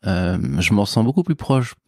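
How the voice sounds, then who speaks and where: A man's voice: on the low side, expressive, normal speed, mellow, no room sound, clean studio sound. A man in his forties, a podcast guest talking casually and reflectively, with informal asides and emphatic repetition.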